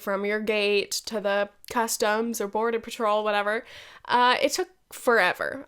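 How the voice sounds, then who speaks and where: A woman's voice: a little high, a bit bright, clear, resonant tone. A young woman in her twenties, nasal and congested from a head cold, hosting a casual solo podcast with chatty, swearing, self-deprecating asides. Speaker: podcast host.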